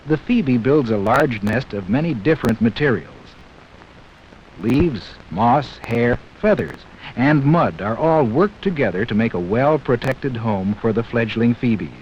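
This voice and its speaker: A man narrating a scripted 1960s educational film in a measured, explanatory way, speaking directly to young viewers. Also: medium pitch, expressive, darkish tone. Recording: dull, hissy 1960s 16mm film soundtrack.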